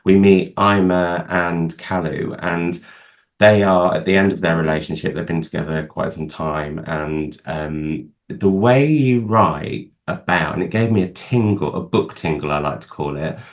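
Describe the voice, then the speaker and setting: A man's voice: deep voice, fairly even intonation, a little slow. A man hosting a book channel who interviews an author he admires over a video call, asking long, gushing questions.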